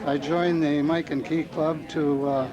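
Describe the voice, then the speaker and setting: A man's voice: a little high, level tone, a little slow. A man introducing himself at a club banquet, hesitant with a joke at the end. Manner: hesitant, joking. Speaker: amateur radio operator. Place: crowded banquet hall. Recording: dull, lo-fi, crowd behind.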